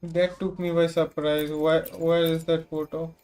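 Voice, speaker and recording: A woman's voice: low-pitched, level tone, unhurried, dark tone. A woman with an Indian accent giving casual livestream game commentary, switching between two languages. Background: music.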